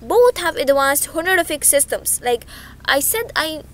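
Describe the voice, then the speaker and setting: A woman's voice: high voice, sing-song, a little slow. A young woman teaching over slides in a chatty way. She often checks in with "okay?" and corrects herself as she goes. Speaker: online language teacher. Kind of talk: casual explanatory lesson over slides.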